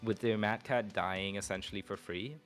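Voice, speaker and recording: A man's voice: on the low side, fairly even intonation, moderate pace, soft background music. A man giving casual esports analysis, explaining his points step by step. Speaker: guest analyst.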